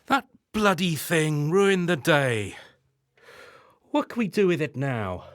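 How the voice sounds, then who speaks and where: Weary henpecked husband, slow. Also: male voice, a little high, very animated, dry recording, clean studio sound. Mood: fed up. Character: dry, resigned henpecked husband. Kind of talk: radio play acting.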